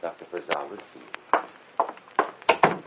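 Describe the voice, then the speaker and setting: An actor playing a deferential but persistent household servant, formal and polite, in an old radio drama style. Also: male voice, a bass voice, fairly even intonation, takes it slowly, creaky, heavily acted. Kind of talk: vintage radio drama acting. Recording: dull, noisy old radio recording.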